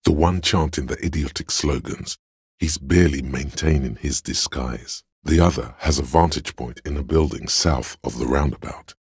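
A man voicing a video game's inner voice of logic, measured, dry and condescending, narrating to the player in a gravelly, husky way. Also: a bass voice, no room sound, heavily acted. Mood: measured, analytical. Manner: measured, deliberate, dry, superior. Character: inner voice of cold logic, a man's analytical, condescending mind.

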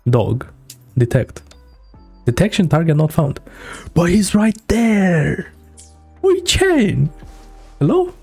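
A man's voice: high voice, very animated, slow pace, creaky, pauses often, a little mellow, slightly rough. A man in his thirties giving casual, joking and sweary live reactions while streaming a game. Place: small indoor streaming room. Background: game music.

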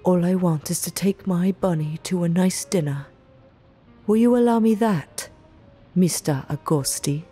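A woman's voice: fairly low pitch, a little slow, dark tone, slightly rough, soft background music. A woman playing a playfully menacing, eccentric mob underling in an audio roleplay, speaking coyly in theatrical, old-fashioned phrases. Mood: silky, menacing. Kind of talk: ASMR audio roleplay acting.

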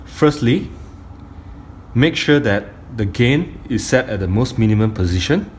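A middle-aged man giving a step-by-step tutorial on audio gear, speaking deliberately in short phrases with pauses. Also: mid-range voice, animated. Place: indoor carpeted room. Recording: faint room noise, phone camera audio.

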